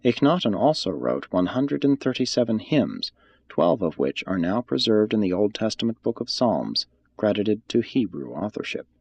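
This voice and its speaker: A man reading a religious text aloud as scripted narration, in a measured, formal manner. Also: on the low side, sing-song, vocal fry, darkish tone, slightly rough, no room sound. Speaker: audiobook reader.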